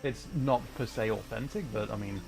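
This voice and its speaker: A man's voice: lowish voice, normal speed, creaky. A man giving casual gaming livestream commentary, rambling and thinking aloud as he plays. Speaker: livestream host, gamer. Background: music.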